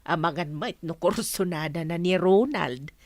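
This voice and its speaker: A woman's voice: fairly low pitch, unhurried, darkish tone, dry recording, lightly acted. A woman acting a teasing, gossipy household helper in an Ilocano radio drama.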